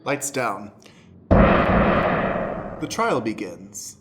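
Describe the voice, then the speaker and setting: A man's voice: on the low side, big pitch swings, drawn-out words. A man narrating as stage manager, setting scenes in an improvised radio play. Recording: echoey room, faint background noise.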